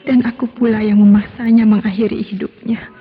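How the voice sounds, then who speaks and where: Casting: actress as anguished woman racked with guilt, medium pitch, radio melodrama, dull sound with music. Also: flat delivery, a little fast, lightly acted. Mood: anguished remorse. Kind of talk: melodramatic radio drama acting.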